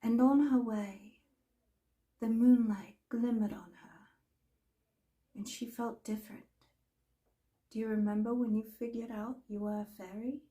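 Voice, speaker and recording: Lady acting as a haughty old fairy-tale sorceress, really slow and theatrical, kinda high voice. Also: steady tone, dark tone, lightly acted. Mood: mysterious. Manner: theatrical, haughty, deliberate dramatic pauses. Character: imperious, mischievous older female magical storyteller in a fantasy world. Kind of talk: in-character fantasy storytelling roleplay.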